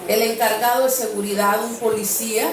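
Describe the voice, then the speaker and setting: A woman's voice: fairly high pitch, fairly even intonation, fairly quick, noisy background. A woman preacher giving an earnest sermon, telling a personal story and repeating phrases for emphasis. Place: church sanctuary. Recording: very echoey church from a distance.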